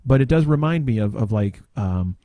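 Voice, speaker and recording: A man's voice: fairly low pitch, expressive, normal speed, warm tone, no echo. A man chatting casually on a podcast, hesitant and searching for his words. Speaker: podcast panelist. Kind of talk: casual chat.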